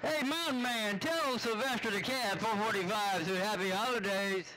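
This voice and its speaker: A man's voice: sits high, moderate pace, very noisy. A man chatting casually over CB radio in a folksy, teasing, slangy way. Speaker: CB radio operator.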